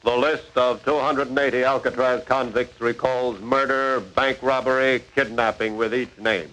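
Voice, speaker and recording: A man playing a stern, authoritative narrator of a 1940s radio crime drama, with emphatic, deliberate phrasing. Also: medium pitch, fairly even intonation, background noise, natural voice. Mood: grave. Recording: vintage 1940s radio broadcast.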